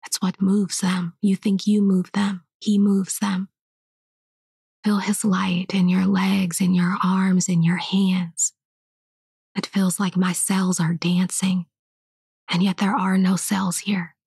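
A hushed, breathy whispering woman. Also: mid-range voice, level tone, no room sound, studio quality. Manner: soft unvoiced whisper, slow and hushed. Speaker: spiritual teacher. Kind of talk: whispered guided meditation and spiritual teaching.

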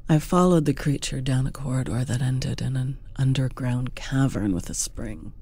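A narrator reading a scripted first-person fantasy story in a hushed, dramatic, deliberate manner. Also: mid-range voice, husky. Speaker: audiobook narrator.

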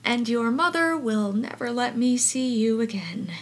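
A woman playing a flustered, protective aunt in an audio drama, speaking in an urgent, halting, reassuring way. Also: on the high side, lively intonation. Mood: reassuring, firm. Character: flustered, protective aunt hurriedly soothing her nephews.

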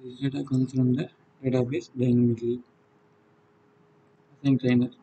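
A man with a South Indian accent and a husky voice, giving an unscripted software walkthrough in halting, non-fluent phrases. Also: medium pitch, monotone, dull audio. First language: Tamil. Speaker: software demonstrator. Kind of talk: unscripted screen-recorded tutorial walkthrough.

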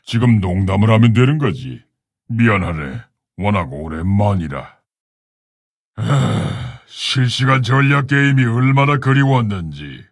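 A man playing a proud, solemn orc warchief in a video game, gravelly and husky, speaking in a commanding, stately, formal way. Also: fairly low pitch, big pitch swings, measured pace, dry recording, clean studio sound, heavily acted. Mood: wry, relaxed. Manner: commanding, stately, old-fashioned formal speech. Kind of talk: video game hero voice lines.

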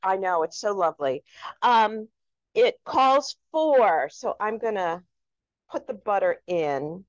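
A woman's voice: medium pitch, very animated, takes it slowly. A woman hosting a casual cooking demonstration, chatty and instructive as she explains each step. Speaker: cooking show host. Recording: echoey room, dull lo-fi sound.

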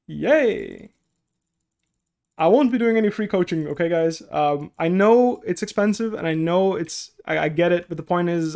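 Young man on a headset, high voice. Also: darkish tone. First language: Dutch. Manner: explains step by step, many okays and restarts. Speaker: coach and streamer. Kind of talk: casual stream talk, answering chat. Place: small room indoors at a desk.

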